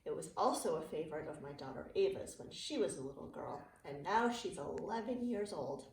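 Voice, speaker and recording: A middle-aged woman, a teacher reading a children's picture book aloud with expressive, clearly phrased delivery for young listeners. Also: deep voice, fairly quick. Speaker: early learning teacher reading to students. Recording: roomy, distant mic with faint noise.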